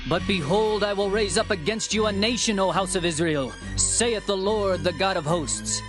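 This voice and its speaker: A man's voice: high-pitched, normal speed, music in the background, close to an everyday voice. An actor playing an earnest, bold herdsman prophet, declaiming in a dramatized scripture narration. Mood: stern, warning. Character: earnest male prophet Amos, herdsman turned bold messenger.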